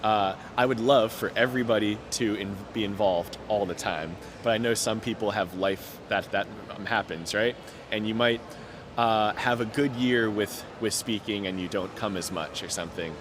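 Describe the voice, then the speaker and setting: A young man with a fairly low voice who stutters, repeating sounds and breaking off words as he talks openly and earnestly about his own experience. He is recorded outdoors, with clear city noise and passing traffic behind him. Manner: earnest, open, many fillers. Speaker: interviewee. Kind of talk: reflective personal story. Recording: noticeable outdoor background noise.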